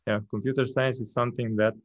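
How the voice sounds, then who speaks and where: A man from Romania with a Romanian accent, a product manager introducing himself calmly on a video call. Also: medium pitch, fast talker, no echo. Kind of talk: online panel talk. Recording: dull dry video-call audio.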